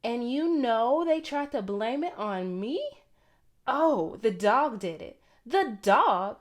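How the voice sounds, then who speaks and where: A woman's voice: a little high, expressive, takes it slowly. A woman voicing a sassy, boastful male talking dog from a children's storybook, indignant and wisecracking.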